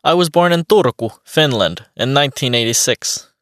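A man's voice: mid-range voice, lively intonation, normal speed, fairly bright tone, no echo, clean studio sound, natural voice. A man playing a friendly young beatboxer. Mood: plain. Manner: plain, friendly, evenly paced answers. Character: friendly young male beatboxer on a radio show. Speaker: interviewee. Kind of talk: scripted textbook dialogue.

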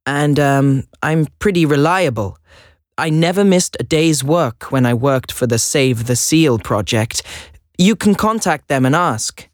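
A man's voice: a little high, lively intonation, measured pace, dry recording, studio quality. An actor playing a sixteen-year-old boy, a native Swedish speaker, in a job interview, chatty and a bit hesitant with nervous laughs. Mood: earnest. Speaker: job applicant. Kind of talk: scripted listening dialogue.

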